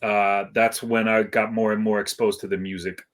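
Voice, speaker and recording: A man's voice: on the low side, little pitch movement, moderate pace. A middle-aged man from Iceland, a guest DJ in a casual interview, talking in a laid-back, modest way with many fillers and restarts.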